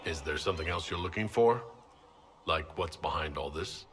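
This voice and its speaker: An actor playing an earnest, principled fantasy game hero, speaking in a serious, deliberate way. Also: male voice, extremely low pitch, lively intonation. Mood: probing, civil. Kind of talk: video game dialogue acting. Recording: long hall reverb.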